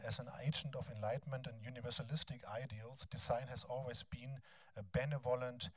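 A man in his fifties with a German accent gives a lecture in a large bright hall on a handheld mic, hesitating often with ums and restarts. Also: mid-range voice, husky. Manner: halting, frequent ums and restarts. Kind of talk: academic lecture, then reading a text aloud.

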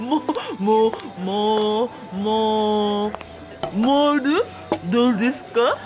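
A woman's voice: mid-range voice, fairly even intonation, extremely slow. A woman playing a polite, timid but playful young boy in a light radio drama, hesitant and giggly. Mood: giggly, exasperated. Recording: music behind the voice.

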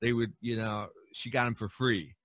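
Guy hosting a podcast, bright voice, dry sound, chatty, says you know a lot. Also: mid-range voice, steady tone, normal speed, dry recording. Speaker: podcast host. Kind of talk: casual chat, telling stories.

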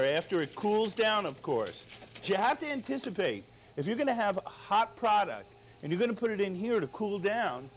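A middle-aged male chef giving an unscripted cooking demonstration, often checking in with short tag questions. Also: high voice. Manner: frequent tag questions "all right?". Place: studio kitchen. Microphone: lapel mic. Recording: dull lo-fi audio, background noise.

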